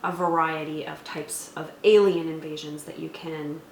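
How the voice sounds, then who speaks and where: A woman with a slightly low voice explains things conversationally as a review co-host. She is recorded in an echoey room, with a faint layer of noise behind her. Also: level tone, moderate pace, gravelly. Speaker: co-host of a tabletop game review. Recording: roomy echo, faint background noise.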